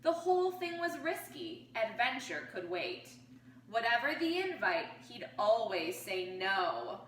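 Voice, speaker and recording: A woman reading a picture book aloud to children like a teacher, expressively, pausing to pose questions to her young listeners. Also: a little high, very animated, fairly bright tone. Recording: roomy, distant mic with faint noise.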